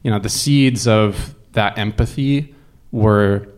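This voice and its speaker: A man sharing a personal story as a guest. His voice sits a little low, and he speaks conversationally, reflecting and searching for words as he goes. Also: a little mellow. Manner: halting, reflective, conversational with frequent 'like' and swearing.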